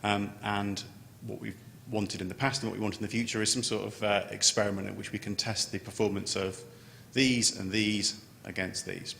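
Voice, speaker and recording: A man giving an unscripted technical conference presentation as a scientist, halting and often repeating words as he starts a phrase. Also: flat delivery, vocal fry, bright, forceful tone. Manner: halting, with stammered word repetitions. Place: large conference room. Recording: echoey hall, noticeable background noise, low rumble.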